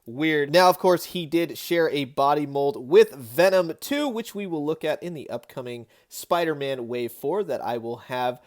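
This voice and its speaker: A man hosting a casual, enthusiastic toy review, telling it in an animated way with emphatic repeats. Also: on the high side, normal speed, few pauses. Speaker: host of a toy collecting review show.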